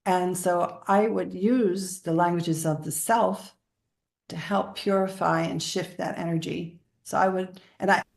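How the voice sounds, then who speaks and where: A woman's voice: fairly low pitch, steady tone, unhurried, creaky, echoey room. A woman from the Bahamas speaking as a guest in a spiritual interview, explaining her practice.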